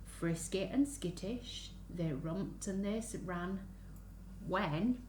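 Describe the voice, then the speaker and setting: A woman reading a picture book aloud to young children in an expressive, sing-song way, stopping to ask them questions. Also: medium pitch, takes it slowly. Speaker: children's story reader. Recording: roomy echo, faint background noise.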